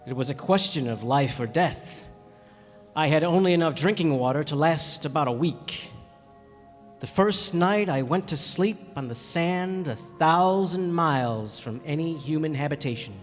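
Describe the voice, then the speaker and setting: A man playing a stranded pilot who recounts his desert encounter in measured, thoughtful radio drama narration. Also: on the high side, lively intonation. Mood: earnest, wondering. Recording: echoey hall, music behind.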